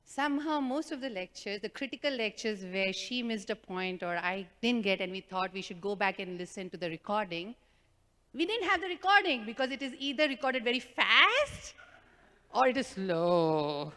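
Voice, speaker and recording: A woman telling a devotional group a personal story, animated and self-mocking, with a loud voice and frequent laughter. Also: mid-range voice, normal speed. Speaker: devotional speaker. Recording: reverberant hall.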